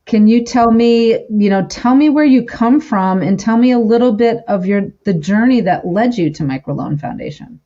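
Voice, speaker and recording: A woman's voice: on the high side, lively intonation, normal speed, hardly any pauses, mellow. A woman hosting a conversational interview in an effusive, encouraging way. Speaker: interviewer, host. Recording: dull, lo-fi sound.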